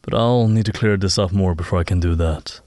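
A fairly low, dry-sounding male voice, an actor playing a keen audio expert in an audio drama. He is thoughtful and slightly hesitant as he works through a puzzle. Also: a little fast, a little mellow, no room sound, lightly acted. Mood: explaining.

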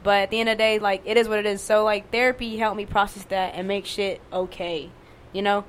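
A young woman with a fairly monotone voice, talking candidly and casually, swearing and using lots of fillers. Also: mid-range voice, brisk, a little hiss or hum. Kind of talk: casual conversation.

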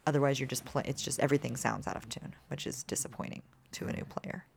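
A woman in her thirties with a low voice, chatting casually as a musician guest and filling her talk with "like". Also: steady tone, fast talker, creaky, breathy edge. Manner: chatty, peppered with "like" fillers. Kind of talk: casual interview chat.

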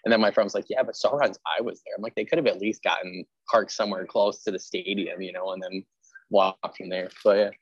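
Low-voiced chatty man, roomy muffled sound. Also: fairly quick, husky. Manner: chatty, animated storytelling with quoted asides. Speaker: podcast host; teacher. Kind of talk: casual chat interview.

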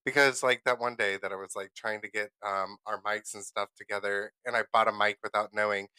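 A man's voice: on the low side, flat delivery, fairly quick, sharp tone, clear, resonant tone, no room sound, poor audio. A man co-hosting a podcast in casual chat, rambling and giggly and losing his train of thought, on a close podcast mic in a small home studio. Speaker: podcast co-host.

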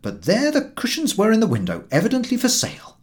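A man narrating a humorous story as an audiobook reader, in an expressive scripted style. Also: sits high, fairly quick.

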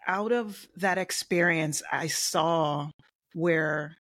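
A middle-aged woman with a light Jamaican accent chats as an interview guest. She speaks in a friendly, measured way and pauses often to find her words. The sound is dry and close, with no room echo. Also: deep voice, fairly bright tone. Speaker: guest, web designer and digital marketer. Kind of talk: casual interview chat.

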